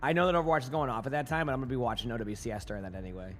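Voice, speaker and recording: A male streamer chatting casually with his live chat, his voice hoarse and rambling with many restarts. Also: medium pitch, steady tone, racing, soft background music.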